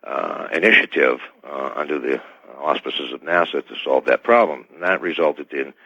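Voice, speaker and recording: A middle-aged man giving formal, halting technical explanations as an expert guest in a radio interview, over a dull band-limited connection. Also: a bass voice, husky, dry recording. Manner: hesitant, formal, technical wording. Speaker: expert guest, scientist and inventor.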